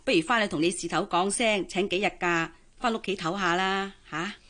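An actress as an older Hong Kong housemaid in a radio play, a matchmaking auntie of medium pitch. She coaxes and advises in a chatty, cheerfully resigned way. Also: moderate pace, a bit bright, natural voice. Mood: concerned, advising. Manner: coaxing, advice-giving, cheerfully resigned. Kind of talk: Cantonese radio drama acting.

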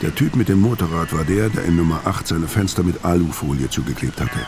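A man's voice: low-pitched, expressive, a little fast, noisy background. An actor in a radio crime drama playing a laconic, brooding, world-weary middle-aged tough guy. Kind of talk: first-person narration in radio crime drama.

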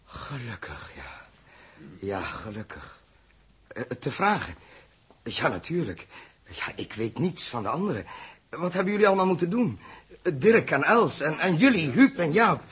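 A man's voice: on the high side, big pitch swings, measured pace, pauses often, a bit bright, breathy edge, exaggerated voice. An actor in a 1960s science fiction radio play playing an earnest, weakened spaceship captain who searches for his words. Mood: weak, relieved. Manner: hesitant, searching phrases.

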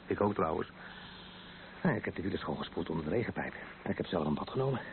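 Radio drama husband: teasing, tender, low voice, tinny old broadcast sound with faint hiss. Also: male voice, fast talker, vocal fry. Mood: matter-of-fact. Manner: playful, intimate, expressive. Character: affectionate, teasing husband in a wheelchair, expecting a baby. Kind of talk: Dutch radio play acting. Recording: tinny, band-limited old radio recording, faint noise.

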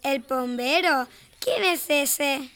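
A woman's voice: high voice, takes it slowly, a bit bright, heavily acted. A woman playing a sweet, curious little city girl in children's radio theater, wide-eyed and inquisitive, with an Argentine accent. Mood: surprised, wondering.

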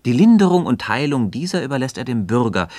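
An actor playing a calm, thoughtful philosopher and evasive mentor in a radio play, speaking in deliberate, rhetorical literary diction. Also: male voice, medium pitch, dry recording, natural voice. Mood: insistent, instructive.

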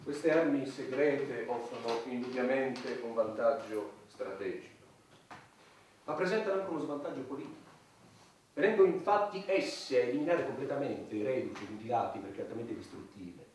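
An actor playing a wordy, self-important head of government in a satirical radio play, speechifying in a pompous, rhetorical way. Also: male voice, mid-range voice, lively intonation, normal speed, darkish tone, lightly acted. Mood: pompous, lecturing. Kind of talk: satirical stage radio drama. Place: large echoey room, recorded from afar. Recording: distant, very echoey hall, faint noise.

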